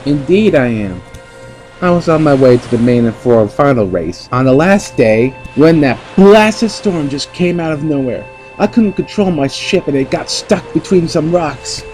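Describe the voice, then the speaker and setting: A man's voice: fairly high pitch, expressive, a little slow, a little mellow, faint music behind. A man playing a confident, kindly champion sailor, earnest and encouraging, in an amateur audio drama. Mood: relieved, proud.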